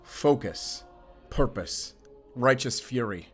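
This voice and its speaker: An actor playing a weary, guarded werewolf hunter in an audio drama, terse, stern and defiant. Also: male voice, mid-range voice, animated, slow, faint music behind. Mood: fierce, defiant. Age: 30s.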